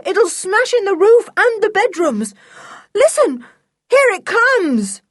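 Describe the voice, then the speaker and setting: Storybook boy, played by a woman: panicked, high, shouting urgent warnings. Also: sing-song, slow, dry recording, clean studio sound, heavily acted. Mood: frantic alarm. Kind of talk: children's storybook character voice.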